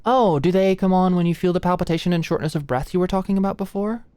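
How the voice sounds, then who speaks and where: Low-voiced, nervous young female med student acted out by a woman. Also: quick pace, dark tone, clean tone, dry recording, lightly acted. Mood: concerned, puzzled. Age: young adult. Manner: stammering, hesitant, muttering asides under breath. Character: anxious, flustered young female medical student, shy and apologetic. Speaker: voice actor in audio roleplay. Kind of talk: intimate ASMR roleplay.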